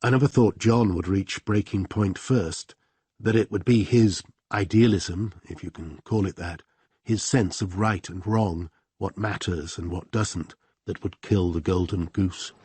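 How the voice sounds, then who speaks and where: An actor playing a reflective, wry male narrator looking back in a radio drama, in measured speech. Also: deep voice, darkish tone, breathy edge, no echo.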